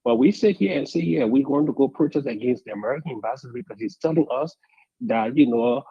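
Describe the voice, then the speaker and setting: A man calling in to a radio talk show, speaking in a deliberate, measured way that builds to emphatic points. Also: medium pitch, lively intonation, creaky, slightly rough, no echo, dull audio. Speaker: caller. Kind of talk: call-in radio commentary.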